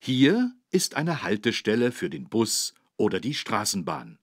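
A man's voice: on the low side, huge pitch swings, unhurried, no room sound, studio quality, heavily acted. A man playing a kindly policeman who patiently and emphatically explains things to children in an educational game. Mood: patient, instructive. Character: kindly, careful policeman teaching children road safety.

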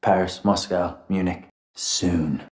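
In an echoey room, a ruthless militant boss for a video game. He speaks slowly and low, with measured menace. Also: steady tone. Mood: cold menace. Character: calm, ruthless male militant cell leader.

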